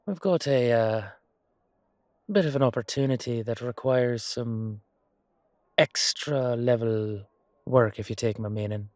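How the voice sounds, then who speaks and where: A man's voice: mid-range voice, a little slow, pauses often, a little mellow, no room sound, clean studio sound, natural voice. A man acting a humble, weary knight with a wry, casual, hesitant manner in an amateur fantasy audio roleplay. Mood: casual, bluffing.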